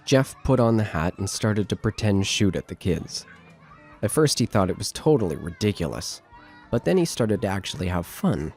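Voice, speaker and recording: A man's voice: on the low side, a little mellow. A man narrating a scripted horror story.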